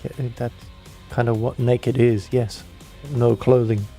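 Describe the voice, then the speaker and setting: A man's voice: medium pitch, little pitch movement, takes it slowly, dark tone, breathy edge, faint music behind. A man from Sweden co-hosting a casual radio chat, teasing in a dry way. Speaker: radio co-host.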